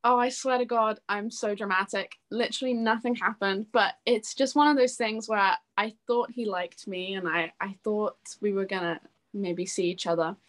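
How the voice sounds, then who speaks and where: A woman's voice: mid-range voice, steady tone, normal speed. A woman in her twenties chatting casually in an interview, effusive and self-deprecating, with a giggly way of talking. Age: 20s. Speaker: singer-songwriter being interviewed.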